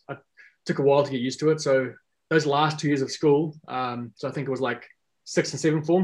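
Relaxed man, casual. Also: mid-range voice. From South Africa. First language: Afrikaans. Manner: rambling, many fillers and restarts. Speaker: guest. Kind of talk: casual podcast chat.

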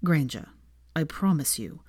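A woman's voice: sits low, lively intonation, unhurried, mellow, slightly rough, no echo, exaggerated voice. A woman voicing a smug, teasing young wizard man with a dry, unruffled manner in a storybook reading. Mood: reassuring. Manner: dry, comfortable, grumbling when crossed.

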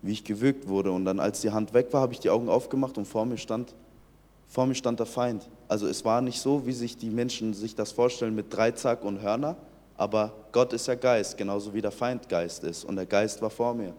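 A man's voice: on the low side, steady tone, a little fast, a little mellow, big-room sound. A young man from Iran in his late teens, telling his personal faith testimony earnestly, often restarting his sentences. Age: young adult. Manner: earnest storytelling with many restarts.

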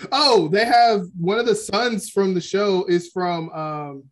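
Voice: medium pitch, slow. A podcast host in casual chat, talking loosely with ums and trailing restarts.

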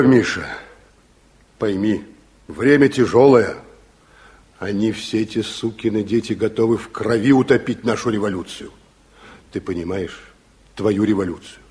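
Tired watchful chekist, low husky voice, grave fatherly questioning, old soviet radio play. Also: moderate pace, long pauses, darkish tone. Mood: urgent, grave. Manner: probing, repeats words back. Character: weary Soviet secret policeman, earnest, watchful, fatherly. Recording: muffled-edged 1960s radio drama recording.

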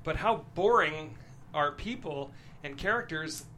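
A man with a bright, fairly high voice talks candidly as a writer being interviewed. He rambles with passion and often asks listeners to agree. There is some noise behind him. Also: expressive, unhurried. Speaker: screenwriter, interview guest. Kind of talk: candid talk about own writing.

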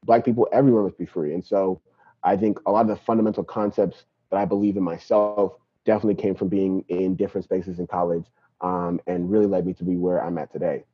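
A man's voice: low voice, flat delivery, quick pace, husky. A young man from Nigeria, a guest talking casually and reflectively about his life, often tossing in "you know" and "right?". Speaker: podcast guest, activist. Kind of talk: casual interview talk. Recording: dull lo-fi podcast audio.

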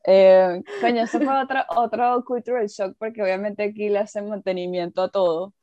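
A Venezuelan woman with a Venezuelan Spanish accent, chatting casually on a podcast in a quick, emphatic way with casual swearing. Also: mid-range voice, steady tone, mellow, dry recording, highs cut off. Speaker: podcast guest. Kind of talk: casual chat.

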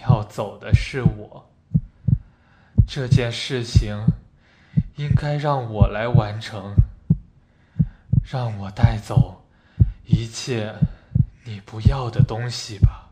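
Dying male patient, acted by a man, amateur radio drama; solemn and tender, extremely slow delivery, very noisy recording. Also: fairly low pitch, steady tone. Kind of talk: amateur radio play reading of stage drama. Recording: noisy recording with clicks and throbbing.

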